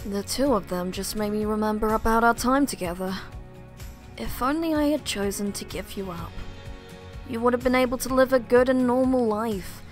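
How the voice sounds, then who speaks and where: A woman's voice: on the high side, moderate pace, soft background music, lightly acted. A woman voicing a weary, regretful woman. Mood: reflective.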